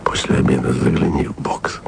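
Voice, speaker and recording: A man's voice: brisk, natural voice. An actor playing a wry, lovesick male radio journalist who murmurs confiding messages onto tape, often close to a whisper. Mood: intimate. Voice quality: low gravelly murmur, often near a whisper. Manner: intimate, confiding, self-ironic. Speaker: radio man taping messages to a colleague. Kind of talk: radio drama acting. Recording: noisy tape recording.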